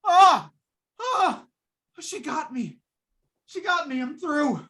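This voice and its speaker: A woman playing a frantic, hapless working man in an old-time radio drama, stammering and flustered in a husky voice. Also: high-pitched, big pitch swings, slow pace, background noise, exaggerated voice. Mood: panicked.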